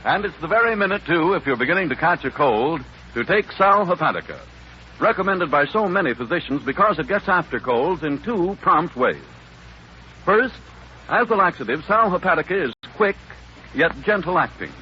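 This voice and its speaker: An American male announcer delivers scripted 1930s radio copy in a highish, very animated voice. The recording is an old, muffled broadcast with light hiss. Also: moderate pace. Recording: muffled 1939 radio broadcast, faint static.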